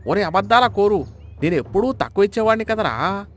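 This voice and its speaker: Man voicing a high-pitched village miser. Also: expressive, fairly quick, a bit bright, a little hiss or hum. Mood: indignant. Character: miserly village man, indignant and easily frightened. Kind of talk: dramatised storybook dialogue.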